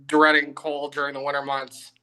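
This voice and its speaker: A man's voice: a little high, completely flat delivery, moderate pace, sharp tone, smooth, poor audio. A young man with a Canadian accent and a youthful voice, chatting casually as a co-host. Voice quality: youthful-sounding voice. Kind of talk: casual chat.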